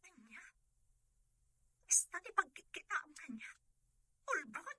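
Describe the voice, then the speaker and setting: A proud, wronged young woman from a radio-style drama, played by an actress. She has an Ilocano Filipino accent and a high, very sharp voice, defending herself firmly and indignantly. The recording is dry and close. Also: sing-song, unhurried, no echo, exaggerated voice. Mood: hurt, defiant. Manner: indignant, firm.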